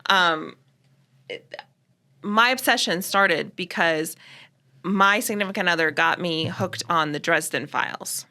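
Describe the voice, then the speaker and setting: Bright youthful-sounding woman, chatty. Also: unhurried. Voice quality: youthful voice she tries to pitch down. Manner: chatty, laughs often, rambles with restarts. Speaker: podcast co-host. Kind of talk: casual chat.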